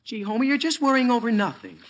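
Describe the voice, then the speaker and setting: A cocksure American teenage boy, bright and forceful in tone. The delivery swings up and down with earnest eagerness, in the manner of a 1940s radio sitcom. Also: extremely high pitch, big pitch swings, quick pace, full character voice. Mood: confident, eager.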